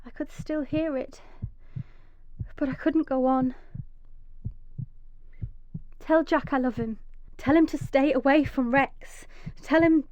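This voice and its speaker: An actress playing a desperate, vengeful mother trapped underground, narrating intensely in a breathy first-person radio drama monologue. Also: high voice, fairly even intonation, slow pace, long pauses, darkish tone, a little hiss or hum. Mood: fading, exhausted. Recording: echoey, slightly dull recording.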